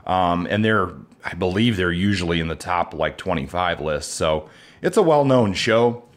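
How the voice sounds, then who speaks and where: Male podcast co-host, very low voice, relaxed casual chat. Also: moderate pace. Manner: relaxed, rambling with restarts.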